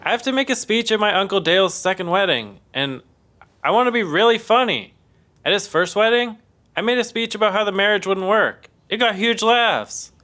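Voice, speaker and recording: An actor playing an upbeat, earnest and clueless young sitcom roommate. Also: male voice, sits high, animated, moderate pace, pushed and bright. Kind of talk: sitcom acting.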